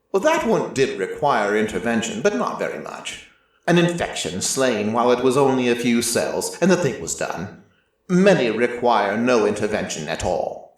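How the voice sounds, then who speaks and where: A man voicing an ancient, solemn god of prophecy in a dramatic first-person story, with deliberate pauses. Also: mid-range voice. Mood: quietly fond. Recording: heavily echoing, distant-sounding voice.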